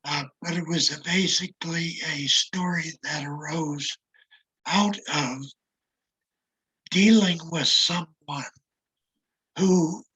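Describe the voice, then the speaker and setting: A retired older man talks in a raspy, strained voice that often drops to a whisper. He speaks slowly and reflectively, with a self-deprecating manner, and the tone sits bright and tight despite the damage. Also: a little high, rough recording. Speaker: interview guest. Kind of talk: casual conversation.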